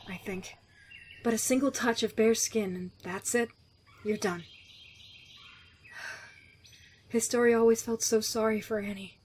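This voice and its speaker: A woman playing a guarded, sickly young survivor of cruel experiments in an audio drama, speaking in a wry, measured, confiding way. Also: medium pitch, darkish tone, slight background noise, close to an everyday voice. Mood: somber, resolute.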